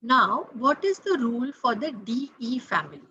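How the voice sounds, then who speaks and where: A woman's voice: fairly high pitch, big pitch swings, moderate pace, pushed and bright, lo-fi sound, a little hiss or hum. A woman, teaching French grammar patiently, repeating key points and spelling out letters. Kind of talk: explaining grammar to students.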